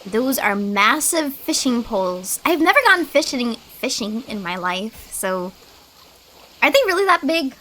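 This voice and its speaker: A woman's voice: fairly high pitch, sing-song, unhurried, bright, forceful tone, a little hiss or hum. A woman doing casual gameplay commentary, rambling and reacting in loose slang. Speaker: streamer playing and reacting to a game.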